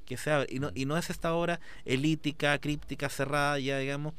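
A man's voice: a little high, steady tone, brisk, a bit bright, clean tone. Passionate rambling man. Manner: rambling, fills with "digamos", passionate. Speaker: artist guest. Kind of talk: conversational interview.

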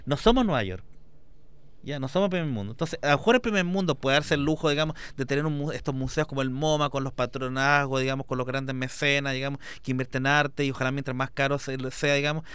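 A man's voice: mid-range voice, fast talker, a bit bright, clear, resonant tone, no room sound. A man, an artist guest in an interview, talking passionately and rambling with many fillers. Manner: rambling, fills with "digamos", passionate. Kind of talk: conversational interview.

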